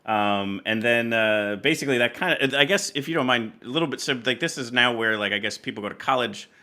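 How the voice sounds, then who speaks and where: Bright-voiced male podcast host. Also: mid-range voice, brisk. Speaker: podcast host interviewing a musician. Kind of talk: casual interview chat.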